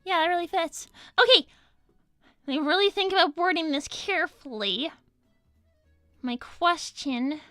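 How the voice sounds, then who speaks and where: A woman's voice: extremely high pitch, unhurried, pauses often, pushed and bright, no echo, clean studio sound, faint music behind, heavily acted. A woman voicing a girlish cartoon hedgehog who pushes her questions insistently as an indignant interviewer in an improvised chat. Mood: careful, teasing.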